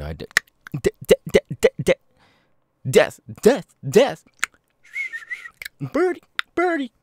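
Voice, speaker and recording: A man voicing a weary, homeless young ex-convict with tics, halting and stammering in an ASMR audio roleplay. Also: sits low, no echo, heavily acted. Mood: agitated tics. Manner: halting, stammering, with abrupt repeated-word tics. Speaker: voice actor. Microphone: close microphone, dry.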